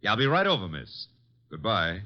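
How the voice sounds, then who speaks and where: A man's voice: on the low side, wildly swooping pitch, normal speed, slight background noise. An actor playing a breezy, girl-smitten detective's assistant who narrates an old radio drama with wry teasing. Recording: muffled vintage radio broadcast.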